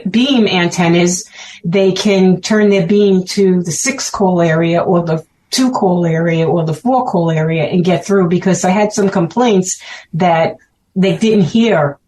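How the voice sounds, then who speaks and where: A woman's voice: mid-range voice, darkish tone, low-quality recording. A woman with a Brooklyn accent, chatting as a guest and often laughing as she explains things. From Brooklyn, New York. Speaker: guest, special event organizer. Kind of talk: casual chat.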